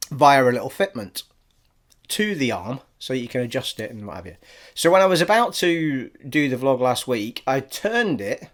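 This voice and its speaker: A man from Scotland with a generic English accent, rambling cheerfully through a casual gaming vlog from his living room. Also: fairly high pitch. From Scottish, raised abroad in army schools. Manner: chatty and rambling, says "people" a lot. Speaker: gaming vlogger. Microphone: studio USB microphone on an arm.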